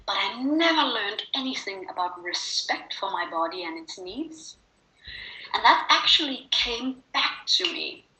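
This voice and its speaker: A woman's voice: mid-range voice, sharp tone, reverberant, low-quality recording. A woman with a Danish accent telling a personal story as an interview guest, earnestly and emphatically, repeating phrases for stress. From Denmark. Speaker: interview guest, wellness speaker and coach.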